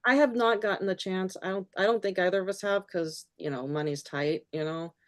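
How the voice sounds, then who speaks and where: A woman speaks as a guest in a relaxed interview, her voice at a middle pitch. She talks haltingly, breaking off mid-phrase and filling gaps with little fillers. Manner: halting, frequent restarts and fillers. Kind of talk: casual conversational interview.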